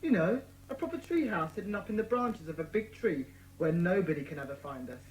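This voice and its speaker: A woman's voice: fairly low pitch, lively intonation, fairly quick, a little hiss or hum, natural voice, low-quality recording. A woman playing a bossy, decisive schoolboy club leader in a dramatised children's audiobook, clipped and authoritative. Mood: decisive, keen.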